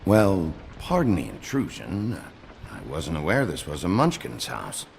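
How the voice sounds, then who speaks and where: An actor playing a gruff, weary middle-aged sheriff in a video game, terse and dryly wry with a gravelly voice. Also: male voice, low-pitched, huge pitch swings, measured pace, slight background noise, exaggerated voice. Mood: probing, wry.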